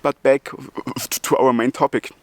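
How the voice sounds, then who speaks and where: Slow-talking German man who stutters. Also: medium pitch, little pitch movement. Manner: stutters with repeated sounds and restarts; chuckles between phrases. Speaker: YouTuber. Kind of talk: casual vlog talk.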